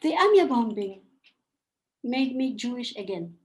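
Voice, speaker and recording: An older woman with Polish as her first tongue, from Argentina, reading a personal account slowly and with feeling as a panel speaker. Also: on the high side, big pitch swings. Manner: slow, measured and emotional.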